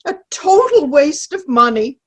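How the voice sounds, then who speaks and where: A woman playing a prim, forgetful, giggly old lady in a comic play reading, speaking haltingly. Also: sits high, sing-song, a bit bright, full character voice. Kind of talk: virtual play reading, comedy. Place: video call.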